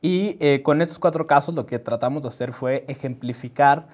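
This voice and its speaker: Mexican man, mid-pitched, measured and methodical panelist laying out points in order. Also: darkish tone. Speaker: panelist from a press freedom organization. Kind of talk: explanatory panel talk.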